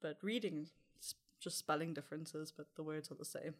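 A woman's voice: deep voice, a little fast. Chatty young South African woman. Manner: chatty, frequent light laughs. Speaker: interview guest. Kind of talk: casual interview answers.

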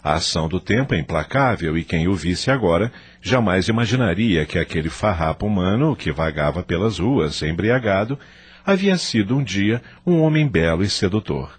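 A man's voice: low voice, expressive, moderate pace, slightly rough. A man with a Brazilian accent giving dramatic scripted narration for a radio drama.

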